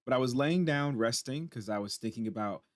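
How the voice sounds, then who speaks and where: Hoarse tired guy chatting thru patch notes at his desk, dry close mic, kinda mid-pitched. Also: a little fast, dry recording. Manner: chatty, reacts aloud while reading on screen. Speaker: gaming channel host. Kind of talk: casual commentary reading patch notes.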